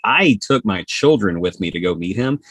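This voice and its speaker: A man as a podcast guest, casually telling personal anecdotes in an animated way and acting out bits of dialogue. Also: fairly low pitch, moderate pace, no echo. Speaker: podcast guest, social media creator.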